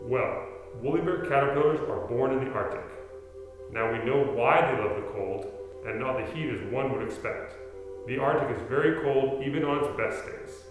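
In a big reverberant hall, a man acts out an earnest middle-aged man telling a story in a radio play. He speaks formally and deliberately, with wonder, at a middling pitch. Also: lightly acted. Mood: earnest storytelling. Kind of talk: stage radio play acting. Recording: very echoey hall, music behind.

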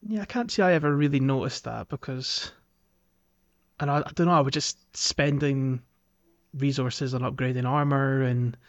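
A man co-hosting a casual gaming podcast, talking in a thoughtful, halting way on a slightly dull, lo-fi recording. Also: mid-range voice. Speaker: podcast co-host.

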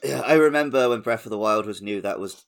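A man's voice: fairly low pitch, quick pace. A man co-hosting a gaming podcast, chatting casually with a wry, conversational manner. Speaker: podcast co-host.

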